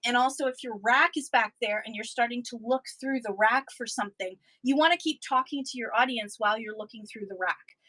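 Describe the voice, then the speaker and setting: A Canadian woman talks her viewers through practical selling tips in a casual, chatty way, full of ums and restarts. Her voice is bright and slightly high. The sound is close and dry. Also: brisk, few pauses, dry recording, low-quality recording. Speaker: livestream seller giving selling tips. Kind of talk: casual instructional talk to viewers.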